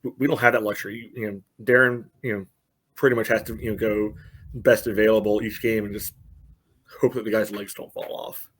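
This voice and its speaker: A man's voice: fairly low pitch, steady tone, a little fast, a bit bright, breathy edge. A man co-hosting a soccer podcast, reasoning casually and hesitantly, with many filler phrases. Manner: hesitant, leans heavily on "you know". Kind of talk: casual sports discussion.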